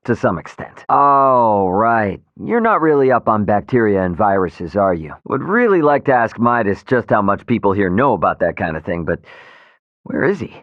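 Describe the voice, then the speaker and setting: A man's voice: fairly low pitch, big pitch swings, normal speed, dry recording, dull audio. An actor voicing a brash, loyal young male spaceship captain in a video game, with an American accent and a casual, cocky, emphatic way of talking. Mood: earnest, casual.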